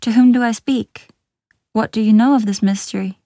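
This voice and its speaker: A woman's voice: on the high side, steady tone, normal speed, warm tone, dry recording, clean studio sound, lightly acted. A woman voicing a curious, earnest young female inventor in a storybook, eager and formal in her speech.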